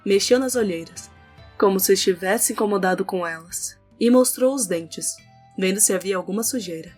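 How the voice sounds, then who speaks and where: A woman's voice: mid-range voice, normal speed, darkish tone. A woman with a Brazilian accent reading scripted narration for an audio novel. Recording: music under the voice.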